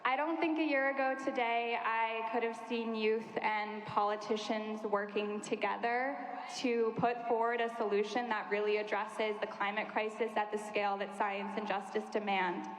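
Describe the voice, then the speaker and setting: Casting: young female organizer, fairly high voice, earnest, roomy hall sound. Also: little pitch movement, moderate pace. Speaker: youth climate organizer. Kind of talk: prepared public remarks. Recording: echoey hall with long reverb.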